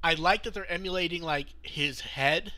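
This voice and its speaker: A man with a fairly high, very bright and forward voice hosts a podcast. He chats casually as he goes through news items. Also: normal speed. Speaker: podcast host.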